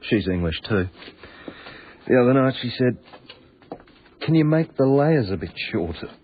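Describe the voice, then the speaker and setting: An actor playing a breezy, cheeky Australian tunnel worker in a naturalistic radio drama, with a wry, blunt way of talking. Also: male voice, mid-range voice, sing-song, a little slow. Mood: wry, chatty. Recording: tinny band-limited radio broadcast, audible noise.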